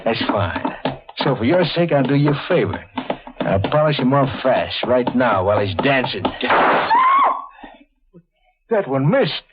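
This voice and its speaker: An actor with an American accent playing a sadistic gangster boss who toys menacingly with people in an old radio drama. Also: male voice, medium pitch, big pitch swings, over loud music. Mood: sadistic amusement. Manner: slow, toying menace. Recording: muffled vintage radio recording.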